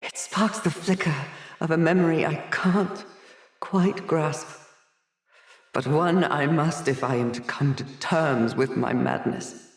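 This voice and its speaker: A woman's voice: slow pace, roomy sound, distant mic. An actress playing an unhinged, haughty woman doctor locked away in an asylum, theatrical and erudite in a video game. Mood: troubled, musing. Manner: theatrical, erudite, haughty, swinging from whispers to shrill outbursts. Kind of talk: dramatic video game character acting.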